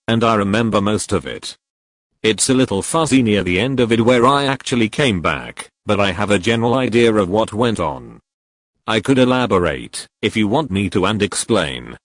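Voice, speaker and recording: A man narrating forum stories, reading each post straight through in one steady voice. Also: on the low side, no echo. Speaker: narrator reading online posts.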